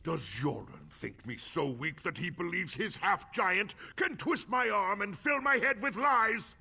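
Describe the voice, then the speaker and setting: Proud, raging fantasy High King. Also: expressive, measured pace, vocal fry, slightly rough, heavily acted. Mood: scornful. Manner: haughty, scornful, swinging from hushed menace to roaring.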